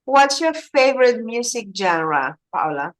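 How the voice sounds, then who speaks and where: A woman's voice: on the high side, sing-song, unhurried. A woman teaching English, patiently prompting and correcting her student. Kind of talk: online English lesson.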